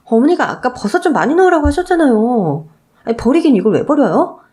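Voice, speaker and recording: A woman's voice: high voice, sing-song, a little fast, warm tone, voice pushed up. A woman voicing a long-suffering daughter-in-law who protests indignantly in a radio story drama. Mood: protesting.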